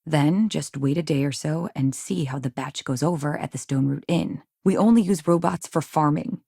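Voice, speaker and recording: An ambitious frontier businessman's wife in a video game, her voice low and touched with gravelly creak. She sounds polished and earnest, chafing with exasperation at being held back. The recording is close and dry. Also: moderate pace, dark tone, dry recording, full character voice. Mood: exasperated. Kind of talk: video game dialogue acting.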